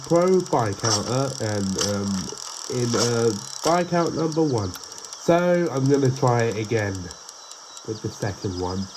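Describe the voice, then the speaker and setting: A man with a congested, stuffy nose talks his way through a gameplay run, rambling and restarting his sentences and breaking into sudden excited yelps. His voice sits at a middling pitch. Loud clanking gear and ratchet sounds from the game run underneath him. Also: noisy background. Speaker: gaming channel host. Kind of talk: gameplay commentary.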